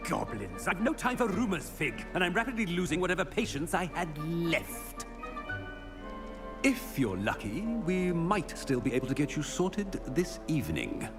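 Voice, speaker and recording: An actor playing a haughty, impatient headmaster of a wizard school in a video game, pompous, curt and sneering. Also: male voice, on the high side, wildly swooping pitch, normal speed, creaky, exaggerated voice. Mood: scornful, impatient. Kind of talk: cinematic video game acting. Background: orchestral game music.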